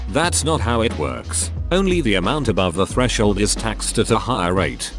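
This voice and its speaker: Lively low-voiced man reading posts over music. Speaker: narrator reading forum posts. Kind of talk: scripted narration. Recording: clear music bed underneath.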